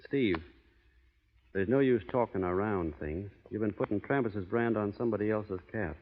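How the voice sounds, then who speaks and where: Male actor for radio western cowboy: low, understated, Western American drawl, firm code of honor; boxy vintage sound with hall reverb. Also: moderate pace, phone-quality sound, close to an everyday voice. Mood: grave, quietly warning. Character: plainspoken, stubborn cowboy with a strict code of honor. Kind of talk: 1930s-40s radio western acting.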